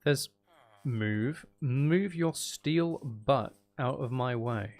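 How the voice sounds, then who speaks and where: A man's voice: medium pitch, very slow, a little mellow. A man thinking aloud as he plays a building game, chatting casually with musing, playful asides. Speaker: streamer playing a building game.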